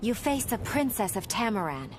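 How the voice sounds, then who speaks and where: Lively, high-voiced alien warrior princess, confident, game dialogue with heavy noise underneath. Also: female voice, normal speed. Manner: lighthearted and confident. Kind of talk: video game character dialogue.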